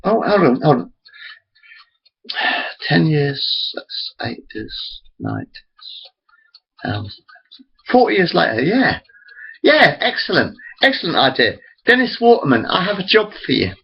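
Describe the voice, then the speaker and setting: A man's voice: a little high, sing-song, unhurried, creaky, long pauses, husky, highs cut off. A man in his forties rambling chattily to his webcam, interrupting himself often. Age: 40s. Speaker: vlogger. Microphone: built-in computer camera mic.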